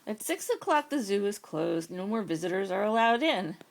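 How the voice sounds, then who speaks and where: Animated, creaky-voiced woman reading a bedtime story. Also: mid-range voice, normal speed. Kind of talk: read-aloud children's storybook narration.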